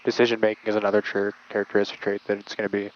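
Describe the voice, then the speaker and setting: Fast-talking, low-voiced man explaining controller procedure. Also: little pitch movement, highs cut off. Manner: uses aviation jargon. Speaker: air traffic controller. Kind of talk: explanatory interview answers.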